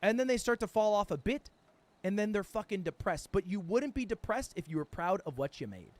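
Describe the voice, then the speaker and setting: A man's voice: high-pitched, very animated, fairly quick. A man giving emphatic, swearing motivational advice to streamers in a coaching tone.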